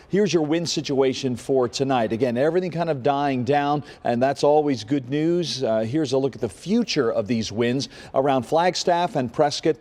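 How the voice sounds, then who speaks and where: A man's voice: mid-range voice, warm tone. A man presenting a conversational live television weather forecast. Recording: reverberant studio sound.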